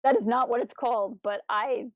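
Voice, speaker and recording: A woman's voice: fairly high pitch, fairly even intonation, fairly quick, no echo. A woman co-hosting a podcast, talking through ideas in a casual, discursive way. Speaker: podcast co-host.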